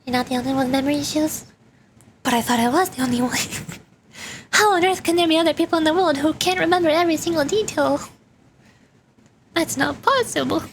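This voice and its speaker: A woman livestreamer chatting casually with her viewers in a playful, animated, bantering way. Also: high-pitched, a little fast, slight background noise. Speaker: VTuber livestreamer. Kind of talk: casual chat with stream viewers.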